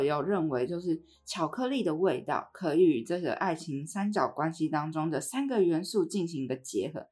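Low-voiced Taiwanese woman hosting. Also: a little mellow. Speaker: podcast host. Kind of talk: conversational podcast presenting.

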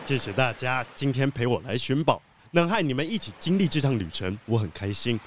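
An actor playing a kindly, principled gentleman treasure collector, earnest and expressive, in a children's audio drama. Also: mid-range voice, fairly quick, slight background noise, natural voice. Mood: grateful.

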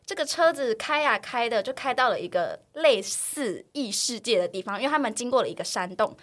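A woman's voice: fairly high pitch, expressive, a little fast, fairly bright tone. A woman with a Taiwanese accent hosting a podcast, telling a story in a casual, playful, comedic way. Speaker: podcast host.

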